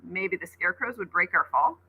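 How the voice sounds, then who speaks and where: Teacher lady doing a chill sporty boy, wry, cheers friends on, bit husky. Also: fairly low pitch, lively intonation, brisk, sharp tone, heavily acted, low-quality recording. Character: easygoing good-natured boy, hockey player.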